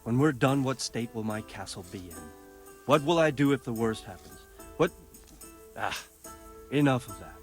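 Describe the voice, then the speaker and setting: An actor playing a grave, world-weary wounded medieval lord in a video game. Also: male voice, medium pitch, expressive, normal speed, long pauses, lightly acted. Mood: resigned. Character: weary, wounded medieval lord fretting over his castle. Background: music.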